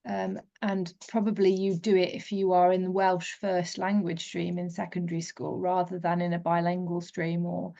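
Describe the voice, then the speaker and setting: A middle-aged woman with a gravelly, creaky voice thinks aloud, pausing on many fillers. The recording sounds dull and lo-fi. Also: fairly low pitch, flat delivery, dark tone. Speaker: discussion group participant. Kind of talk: casual group discussion.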